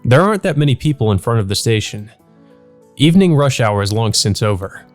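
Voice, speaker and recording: A man with a mid-pitched, animated voice reads visual novel narration aloud. He slips into casual let's play commentary, with music in the background. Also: brisk.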